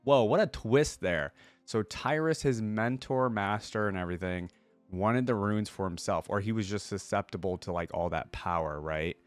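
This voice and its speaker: A man's voice: lowish voice, normal speed. A man reading stories aloud and giving casual, chatty commentary as a reaction host. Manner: informal, chatty.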